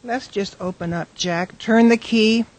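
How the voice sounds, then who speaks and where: A woman plays an anxious, playful wife in a radio drama. Her voice is fairly high and husky, and she is quick to bristle. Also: a little mellow, no room sound, poor audio. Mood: coaxing, welcoming. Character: touchy, playful, anxious wife of a bar owner.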